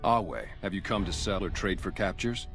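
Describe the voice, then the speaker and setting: A man's voice: deep voice, moderate pace, fairly bright tone, soft background music. A man playing a stern, contemptuous, zealous slave master with a haughty, formal manner in a video game. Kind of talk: video game dialogue acting.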